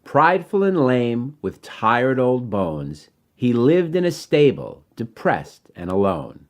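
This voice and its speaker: A man reading his own rhyming children's story in an expressive, dramatic way. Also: medium pitch, slow.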